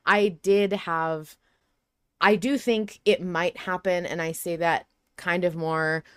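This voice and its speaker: Woman podcast host, smooth lowish voice, conversational, rephrases as she reasons. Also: steady tone, slow pace, dry recording, clean studio sound. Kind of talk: casual discussion of an audio drama.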